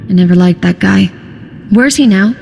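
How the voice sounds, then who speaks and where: A woman's voice: medium pitch, normal speed, creaky, darkish tone, a little hiss or hum, close to an everyday voice. A woman playing a wry, jaded smuggling contact in a video game, speaking with dry humor. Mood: wry, frank. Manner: wry, sardonic. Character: dry, jaded but soft-hearted female smuggling contact. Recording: long reverb with music behind.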